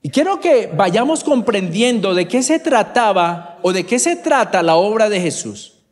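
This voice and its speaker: A high-voiced man with a Bogotá Colombian accent preaches slowly, with his pitch swinging widely. He speaks to members of the congregation by name. His voice carries a long reverb from a church hall. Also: big pitch swings. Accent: Bogotá Colombian Spanish. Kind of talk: sermon.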